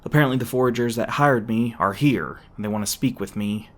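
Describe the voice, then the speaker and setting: A man playing an edgy, sarcastic but secretly soft-hearted monster hunter in an ASMR roleplay, prone to stammering when flustered. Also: mid-range voice, fairly quick, lightly acted. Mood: reassuring.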